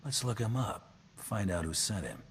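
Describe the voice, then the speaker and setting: A man's voice: lowish voice, animated, moderate pace. A man voicing a tough, laconic bounty hunter in a video game, terse and dryly menacing. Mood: businesslike. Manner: terse, dry, threatening. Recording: long hall reverb, background noise.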